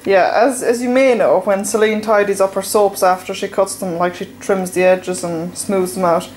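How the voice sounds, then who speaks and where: A young Irish woman in her twenties tells a story at a casual, meandering pace, pausing often as she goes. Her voice sits at an ordinary middle pitch, with a faint hum of noise behind her. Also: fairly even intonation, darkish tone. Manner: rambling storyteller with many pauses. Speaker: friend and co-presenter. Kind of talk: casual rambling chat.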